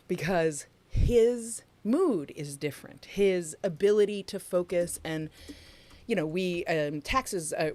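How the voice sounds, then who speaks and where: A middle-aged woman co-hosts a casual livestream. She talks in an emphatic, chatty way straight to the chat room, and her voice sits a little low for a woman. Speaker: podcast co-host.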